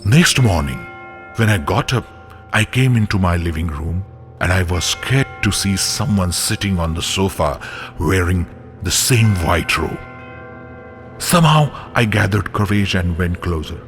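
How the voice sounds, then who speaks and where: Expressive low-voiced man telling a suspenseful story. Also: measured pace. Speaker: story narrator. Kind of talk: dramatic scripted storytelling for children. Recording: music bed behind the voice.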